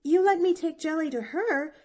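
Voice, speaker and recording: A woman's voice: extremely high pitch, moderate pace, dark tone, lo-fi sound, voice pushed up. A woman reading an audiobook, voicing a cheerful, talkative little girl with an eager, folksy way of speaking. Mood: earnest. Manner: eager, chattering, folksy drawl.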